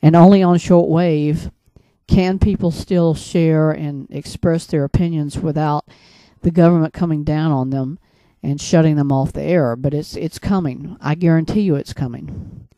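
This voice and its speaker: An American man speaks as a broadcaster delivering solo commentary. His voice is mellow and rather dark, recorded dry with very little room sound. He is earnest and admonishing, moving haltingly and circling back to repeat phrases for emphasis. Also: fairly high pitch, steady tone, no echo, rough recording. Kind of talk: religious and political commentary monologue.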